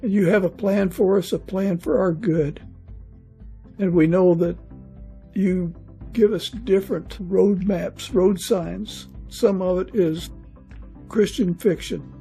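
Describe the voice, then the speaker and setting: A man hosts a podcast, speaking in prayer with an earnest, unscripted feel. His voice sits quite high for a man and moves at an unhurried, slow pace. Faint music plays behind him. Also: fairly even intonation, gravelly, dark tone. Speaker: podcast host. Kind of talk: spoken prayer closing an interview.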